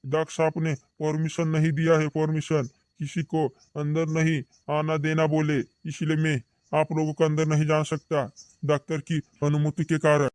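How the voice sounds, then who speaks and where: Hospital watchman acted by a man, officious yet soothing, repetitive, higher male pitch, dry cartoon-comedy sound. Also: completely flat delivery, moderate pace, a little mellow, no echo, close to an everyday voice. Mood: firm, blocking. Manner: repetitive, explanatory. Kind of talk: amateur cartoon comedy acting.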